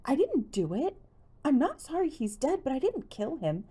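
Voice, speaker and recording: Woman acting a high-pitched distraught teenage girl. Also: sing-song, normal speed, mellow. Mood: defensive, upset.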